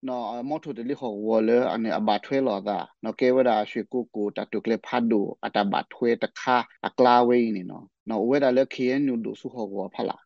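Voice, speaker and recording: A man's voice: mid-range voice, fast, creaky, few pauses, no room sound. A man speaking off the cuff in spontaneous commentary on a radio programme. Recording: slightly muffled shortwave radio programme audio.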